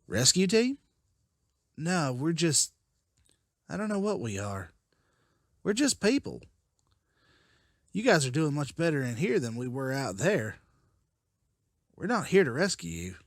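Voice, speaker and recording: Male survivor leader, played by a man: higher-pitched, very expressive, dry sound, earnest and weary. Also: a little slow, long pauses, no room sound. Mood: uncertain. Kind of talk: dramatized comic dialogue.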